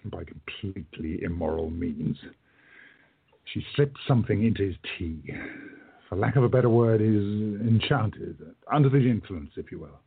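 An actor playing a calm, protective time traveller in a radio play, speaking in a measured, firm way. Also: male voice, lowish voice, vocal fry, husky, dry recording. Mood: grave, knowing. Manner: measured, firm, hushed at times. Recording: narrow muffled phone-like audio.

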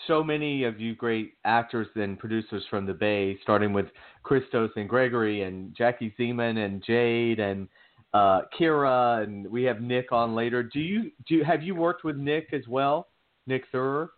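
A man's voice: medium pitch, moderate pace. A man co-hosting a casual talk show, chatty, asking long rambling questions. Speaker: co-host. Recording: tinny phone line.

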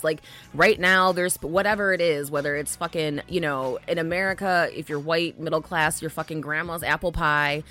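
A woman's voice: lowish voice, fairly bright tone. A woman co-hosting a casual podcast, blunt and profane, often murmuring agreement. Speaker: podcast co-host.

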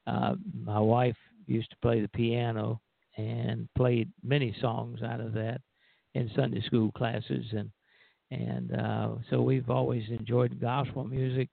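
A man's voice: mid-range voice, flat delivery, takes it slowly, gravelly, no room sound. An older man co-hosting a radio show, reminiscing casually in a hesitant, meandering way. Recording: dry, narrow phone line.

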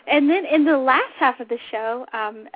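Scratchy, higher-voiced woman on a tinny line. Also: moderate pace, no echo. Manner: chatty, informal. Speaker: talk show host. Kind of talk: unscripted casual radio chat. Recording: tinny phone-line internet radio audio.

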